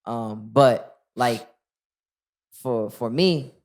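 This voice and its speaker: A man's voice: mid-range voice, drawn-out words. Young man rapper, loose casual talk. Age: 20s. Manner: relaxed, lots of "like" and restarts. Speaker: rapper guest. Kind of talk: casual interview chat.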